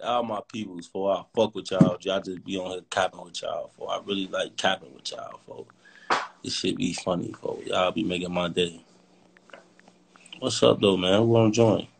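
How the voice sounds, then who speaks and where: A man's voice: deep voice, fairly even intonation, normal speed, vocal fry. Young man bantering over noisy livestream. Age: young adult. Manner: teasing, slangy. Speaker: host of a social media livestream. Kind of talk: casual slangy banter with live viewers and callers. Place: home kitchen, indoors. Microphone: phone at close range. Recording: noisy phone livestream with clicks.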